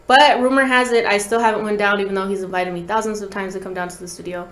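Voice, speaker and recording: A woman in her thirties talks as a guest on a podcast, in an easy, slangy, conversational way. Her voice sits at a medium pitch, and the studio room adds a noticeable echo around it. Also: fairly even intonation, fast talker, fairly bright tone. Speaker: guest, talk box musician. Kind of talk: casual interview chat, storytelling. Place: small podcast studio, indoors. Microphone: desk podcast microphone.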